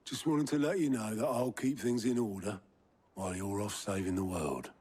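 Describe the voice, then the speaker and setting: A man's voice: fairly low pitch, moderate pace, darkish tone, husky. A man playing a loyal friend and deputy in a cinematic video game, speaking in an informal, sincere way. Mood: friendly, sincere. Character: loyal, warm-hearted male friend and deputy.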